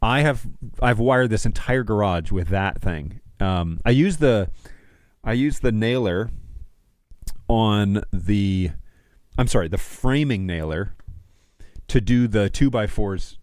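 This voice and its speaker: A man in his thirties with a fairly low voice talks casually to his livestream viewers. He is easygoing and slangy, speaking into a close studio mic in a small room with very little echo. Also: a little mellow. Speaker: livestream host. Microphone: close studio mic on a stand.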